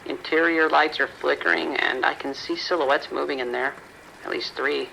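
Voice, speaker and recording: A man's voice: fairly high pitch, steady tone, moderate pace, creaky, bright, forceful tone, slight background noise, full character voice, rough recording. A man playing an uneasy, bewildered patrol deputy in an audio drama, giving terse radio reports. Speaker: police deputy. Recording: radio calls with rain behind.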